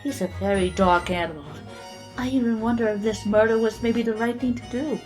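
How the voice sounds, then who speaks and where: A somber female witness in an audio drama, played by a woman, passing dark judgment in a fairly high voice. Faint music runs underneath. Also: a little fast, natural voice.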